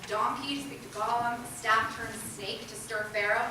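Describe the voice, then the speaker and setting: A woman's voice: a little high, steady tone, normal speed. A woman giving a scripted dramatic reading in a readers' theatre group. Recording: echoey hall, distant mic, loud noise.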